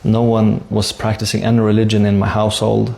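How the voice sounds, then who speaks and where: Man, Swedish accent, lowish voice, strong vocal fry, earnest personal storytelling, plain-spoken. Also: completely flat delivery, a little fast, darkish tone. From Sweden. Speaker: speaker sharing a personal conversion story.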